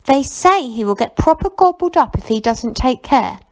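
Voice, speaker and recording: Storybook role: awestruck slangy fairground kid played by a woman, breathless, raised pitch, very lively. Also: moderate pace. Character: excitable awestruck fairground kids, streetwise.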